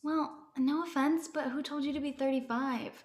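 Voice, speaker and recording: Exasperated ex-girlfriend aged thirty played by a woman, fairly high voice, blunt sitcom table-read delivery. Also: fairly even intonation, moderate pace, lightly acted. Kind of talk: casual comedy script table read.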